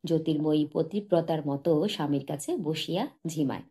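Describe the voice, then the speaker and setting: Low, mellow woman narrating literature. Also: normal speed. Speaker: audiobook narrator. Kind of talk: literary short story narration.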